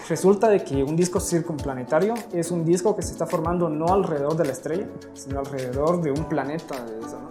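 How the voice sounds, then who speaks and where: A man from Guatemala, a guest astrophysicist, explaining research in a relaxed way, often ending with tag questions and correcting himself. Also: on the high side, reverberant. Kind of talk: conversational explanation.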